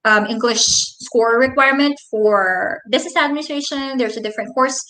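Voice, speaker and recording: A woman's voice: on the high side, normal speed, bright, forceful tone, reverberant, a little hiss or hum, rough recording. A woman in her thirties with a Filipino accent, hosting a casual live question and answer stream and reading viewers' comments aloud. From Philippines. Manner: friendly, reads viewer comments aloud, explains at length. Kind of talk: casual live Q&A, switching between English and Tagalog.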